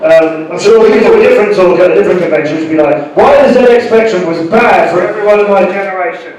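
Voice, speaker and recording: A man hosts a live stage Q&A, joking and chatting with the audience. His voice sits a little high. He sounds distant from the mic, in a booming, echoey hall with faint noise behind. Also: fast, a little mellow, dull audio. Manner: jokey, chatty, addresses the crowd. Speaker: host and interviewer.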